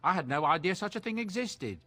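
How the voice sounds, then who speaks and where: A genial dwarf trader, a man of middle years and fatherly pride. His voice is fairly high for a man and swings animatedly as he makes his folksy, wordy pitch. Game music plays behind him. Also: very animated, brisk, bright, forceful tone, full character voice. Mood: genial, musing. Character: genial dwarf merchant, proud fatherly salesman. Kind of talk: fantasy game voice acting.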